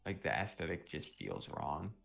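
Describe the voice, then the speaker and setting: A young man on a live stream, chatting casually and rambling with lots of fillers, a little sick and often clearing his throat. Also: extremely low pitch, very animated, normal speed, fairly bright tone. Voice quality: vocal fry. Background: music playing.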